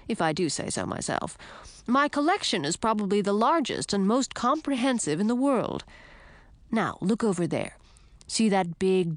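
Woman voicing a cocky, animated male frog prince. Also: mid-range voice, no room sound. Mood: boastful, breezy. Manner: brisk, self-assured, teasing. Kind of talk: audiobook character acting.

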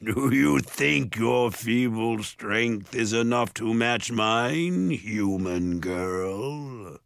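A man voicing a huge, menacing ogre in a storybook, with a booming growl and slow, grandiose delivery. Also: vocal fry, no room sound. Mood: mocking. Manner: slow, drawn-out, grandiose threats. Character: huge menacing male ogre, boastful, soothing yet cruel.

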